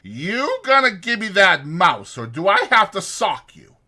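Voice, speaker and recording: A man's voice: high voice, sing-song, a little slow, sharp tone, pitched above the performer's own voice, exaggerated voice. A man voicing an impatient, bossy but caring ranch hand who talks in a brusque rural American vernacular in an audiobook. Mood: stern, demanding.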